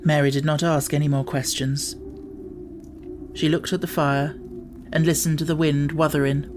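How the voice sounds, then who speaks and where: A man's voice: a little high, little pitch movement, normal speed. Male audiobook narrator, some background noise. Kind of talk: scripted storybook narration.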